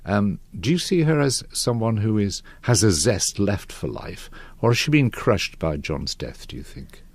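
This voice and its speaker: A man's voice: on the low side, lively intonation, moderate pace, no room sound. An older British man presenting and interviewing in a radio magazine style. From north of England.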